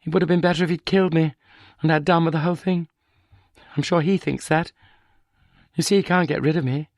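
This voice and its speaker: A resentful, sharp-tongued wife in a wheelchair, as a male narrator puts her on, pitched well above his own deep voice. She speaks in a raised, accusing tone in a dry, close audiobook recording. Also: fairly even intonation, fairly quick, dry recording, lightly acted. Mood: bitter. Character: bitter, vindictive middle-aged wife in a wheelchair. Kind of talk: audiobook character voice.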